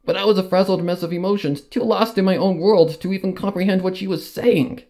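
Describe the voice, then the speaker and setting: Higher-voiced man telling a dramatic story over music. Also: steady tone, brisk, darkish tone. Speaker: fan fiction narrator. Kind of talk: dramatic first-person story reading. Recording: music under the voice.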